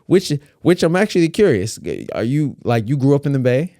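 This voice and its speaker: A man's voice: mid-range voice, expressive, fairly quick, warm tone, dry recording, studio quality. A man guest on a podcast, talking casually and emphatically about dance, building his points through vivid comparisons. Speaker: dance teacher and battle judge, guest. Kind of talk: casual conversational interview.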